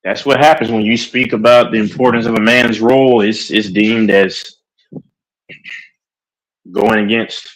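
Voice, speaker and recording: A man on a livestream debate, talking in an animated, emphatic way with many restarts. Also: on the low side, unhurried, long pauses, poor audio. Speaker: streamer.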